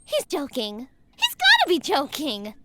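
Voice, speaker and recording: A woman voicing a cheerful, naive ten-year-old girl in a perky, earnest, expressive cartoon parody dub. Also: sits high, unhurried, very noisy, exaggerated voice. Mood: earnest, uneasy. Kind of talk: animated parody dub acting.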